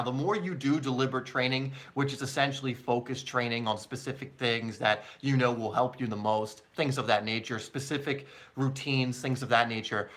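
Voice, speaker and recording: A man with a bright, forward voice at a medium pitch hosts a casual soccer live stream. He talks informally and chattily, addressing viewers directly like mates as he answers their questions. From born in England, long living in the United States.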